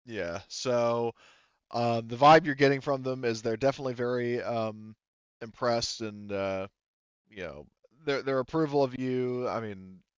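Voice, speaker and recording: A man running a tabletop game as game master, improvising narration in a casual, halting, conversational way on slightly dull audio. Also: mid-range voice, clear, resonant tone, no echo.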